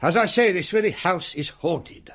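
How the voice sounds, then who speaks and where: A man's voice: high voice, moderate pace, fairly bright tone. A man playing a pompous, testy middle-aged Victorian English uncle in a radio drama, wordy and easily exasperated. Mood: solemn, self-important. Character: pompous, testy Victorian uncle hosting Christmas ghost stories. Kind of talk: period radio drama acting.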